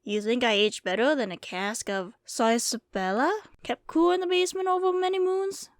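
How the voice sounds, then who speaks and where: Woman acting as old frontier saloon keeper, higher voice, folksy rambling storyteller, dry close sound. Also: moderate pace, no room sound, close to an everyday voice. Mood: delighted, teasing. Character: folksy old Wild West saloon keeper, teasing and welcoming. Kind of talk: ASMR roleplay, storytelling to the listener.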